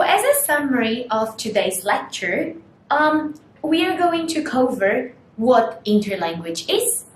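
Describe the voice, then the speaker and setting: Young woman, native Portuguese speaker, lecturing, unhurried pace, echoey room with faint rumble. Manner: slow, deliberate explanations with rhetorical questions. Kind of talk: recorded university lecture. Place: small studio room in front of a screen.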